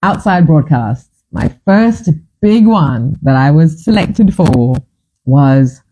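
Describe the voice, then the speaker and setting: A woman's voice: deep voice, expressive, slow pace, dark tone, rough recording. A woman from Fiji in her fifties, hosting a casual chat and telling her stories in a chatty, dramatic, self-mocking way. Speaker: host.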